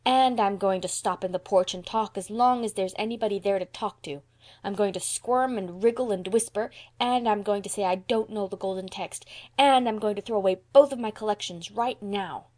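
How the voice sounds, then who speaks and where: A woman's voice: mid-range voice, brisk, a little mellow, natural voice. A woman voicing a reckless, defiant young country boy in a storybook, with indignant blustering and folksy childish grammar. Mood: defiant, spluttering.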